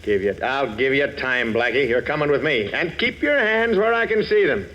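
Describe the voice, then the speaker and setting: An American man playing a gruff, exasperated, sarcastic police inspector in a 1940s-style radio drama. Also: medium pitch, expressive, normal speed, fairly bright tone, natural voice. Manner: blustering, sarcastic. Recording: muffled, hissy vintage radio recording.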